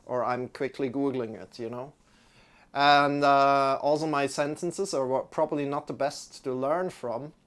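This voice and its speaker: A man's voice: medium pitch, steady tone, a little slow, fairly bright tone, smooth. German man chatting casually, restarting often. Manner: rambling, friendly, restarts often. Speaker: yoga teacher on a livestream. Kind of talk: casual chat with live viewers. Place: indoor room, sitting on a yoga mat.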